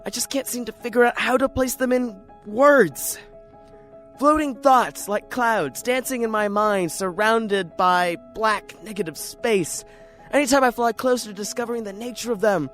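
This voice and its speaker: A man's voice: sits high, lightly acted. A man playing a lonely, neurotic, paranoid writer in an introspective, theatrical radio drama monologue. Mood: brooding, frustrated.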